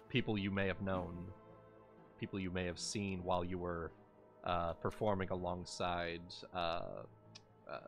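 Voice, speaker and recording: Low slow guy game mastering a tabletop thing, stops and starts a lot, bit of music under. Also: creaky, fairly bright tone. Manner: halting, frequently pausing mid-phrase. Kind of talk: improvised narration of a tabletop game.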